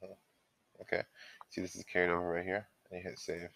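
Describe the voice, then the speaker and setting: A man giving an informal step-by-step software walkthrough as a trainer, explaining and thinking aloud as he goes. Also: low-pitched, completely flat delivery, a little fast, gravelly, no room sound.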